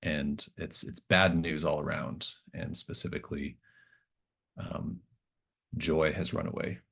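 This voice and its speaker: A man's voice: very deep voice, little pitch movement, measured pace, gravelly, fairly bright tone, husky. A man narrating a tabletop roleplaying game as game master, explaining and improvising in a hesitant, thinking-aloud manner.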